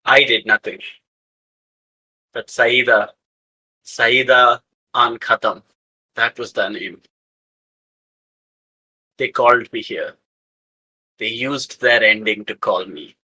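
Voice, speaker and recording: A man's voice: medium pitch, slow, pauses often, bright, forceful tone, slightly rough, voice pushed down, heavily acted, rough recording. A man voicing a newly embodied spirit that is a living weapon, speaking in cryptic, imperious pronouncements. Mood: grave, cryptic.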